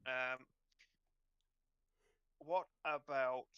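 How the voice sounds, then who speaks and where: A man's voice: medium pitch, steady tone, extremely slow, fairly bright tone, clean tone, no room sound, lo-fi sound. A man running an online tabletop roleplaying game as game master, narrating in a hesitant, conversational way.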